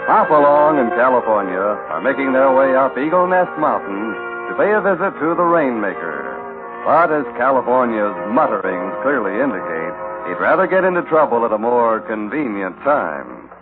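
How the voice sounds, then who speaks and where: A man's voice: medium pitch, big pitch swings, moderate pace. An American man giving scripted narration for an old-time radio western. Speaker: announcer and narrator. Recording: narrow-band vintage radio recording. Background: music.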